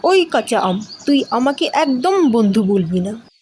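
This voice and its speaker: Vain talking fruit played by a woman, high-pitched, scornful and boastful; kids' moral cartoon, faint background birdsong. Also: very animated, measured pace, a little mellow, slight background noise. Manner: haughty, taunting. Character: vain, boastful ripe mango, quarrelsome and scornful. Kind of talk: children's moral cartoon voice acting.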